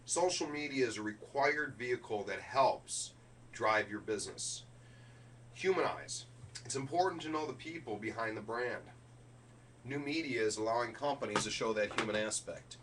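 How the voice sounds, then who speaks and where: A man's forceful, bright voice delivers a motivational keynote with deliberate emphasis on key words. He is picked up from a distance, with a faint layer of noise and hum underneath. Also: mid-range voice. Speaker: keynote speaker. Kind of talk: motivational business keynote speech.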